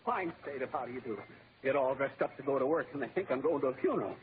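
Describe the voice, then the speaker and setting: A tinny, phone-thin old radio recording carries a man acting as a meek husband who pleads and stammers. His high voice swings widely as he whines in exasperation, with faint noise behind him. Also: animated, quick pace, close to an everyday voice. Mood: grumbling. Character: flustered, whining henpecked husband and meek office clerk.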